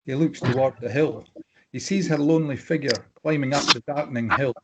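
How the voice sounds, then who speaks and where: A man reading screenplay stage directions as scripted narration, plain and measured, with clipped scene headings. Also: mid-range voice, very noisy.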